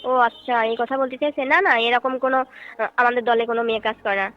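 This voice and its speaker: A woman's voice: a little high, fairly even intonation, rapid-fire, smooth, no room sound, close to an everyday voice. A woman playing a courteous folk singer and troupe leader in a staged phone call, speaking in a polite, questioning, explanatory way. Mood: polite, guarded. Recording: dull phone-call audio with music behind.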